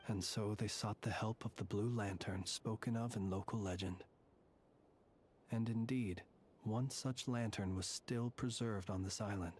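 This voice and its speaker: An actor playing a solemn, courtly lighthouse warden who consoles ghosts in a video game, measured and eloquent. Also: male voice, lowish voice, steady tone, darkish tone, a little hiss or hum, lightly acted. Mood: sorrowful, reflective. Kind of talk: video game character acting.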